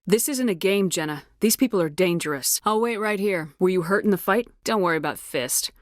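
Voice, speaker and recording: A woman's voice: mid-range voice, expressive, a little fast, no room sound, clean studio sound, lightly acted. An actress playing a tough, commanding female military officer in a science fiction video game, speaking in a firm, clipped, no-nonsense way. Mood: businesslike. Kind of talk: video game dialogue lines.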